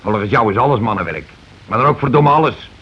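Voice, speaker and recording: An actor in a Dutch radio play playing a middle-aged, self-important working-class husband who complains and blusters sarcastically. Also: male voice, mid-range voice, expressive, fast talker, breathy edge. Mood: indignant blustering. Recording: muffled, hissy old radio recording.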